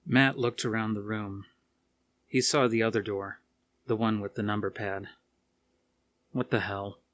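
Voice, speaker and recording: A man's voice: fairly low pitch, steady tone, measured pace, a bit bright, no room sound. A man reading an action horror novel aloud as scripted narration, with a dramatic storytelling manner. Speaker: audiobook reader.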